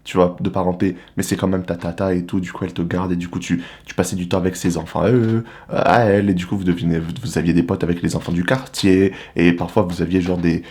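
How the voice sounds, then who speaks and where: A young man from the Republic of the Congo giving a casual, animated, slangy monologue to the camera as a vlogger. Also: low-pitched, fast talker, few pauses. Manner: animated, slangy, rhetorical questions.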